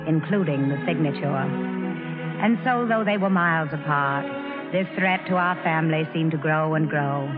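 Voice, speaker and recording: A woman's voice: low voice, normal speed, music in the background, close to an everyday voice. An actress playing an impetuous, blunt, devoted teenage girl in a 1940s American radio drama. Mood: reflective narration. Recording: muffled, tinny old radio recording.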